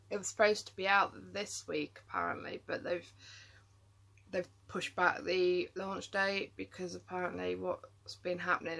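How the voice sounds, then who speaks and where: Tired chatty woman, gravelly. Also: lowish voice, a bit bright. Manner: rambling, many ums, chatty. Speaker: vlogger. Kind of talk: casual chat to camera.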